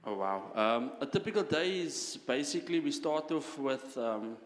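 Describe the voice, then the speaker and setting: A man's voice: medium pitch, lively intonation, normal speed. A man, a volunteer soccer coach, describing a typical camp day in a friendly, rambling way with many fillers. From South Africa. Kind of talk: casual spoken account. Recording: roomy hall with long reverb.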